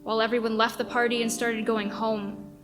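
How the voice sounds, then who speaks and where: A woman's voice: on the high side, flat delivery, a little fast, close to an everyday voice. A woman acting a remorseful, anxious male radio staffer who speaks hesitantly and earnestly in a radio play. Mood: grave recounting. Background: music.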